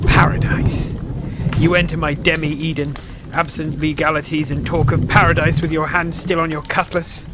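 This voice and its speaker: An actor playing a haughty, suspicious innkeeper in a radio play, declaiming scornfully in archaic English over a noisy background. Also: male voice, a little high, level tone, moderate pace, lightly acted. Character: suspicious, haughty innkeeper, a hostile zealous father. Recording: noisy background with ambient sound effects.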